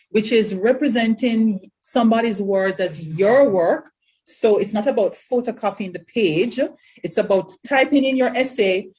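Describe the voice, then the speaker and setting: A woman moderating a panel discussion, explaining points clearly and with emphasis. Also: fairly high pitch, mellow, rough recording.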